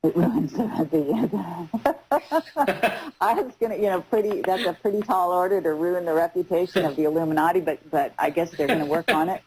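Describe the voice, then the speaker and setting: A woman hosting a live radio interview, speaking in halting phrases with repeated words. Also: fairly low pitch, a little mellow, slight background noise, rough recording. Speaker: radio show host.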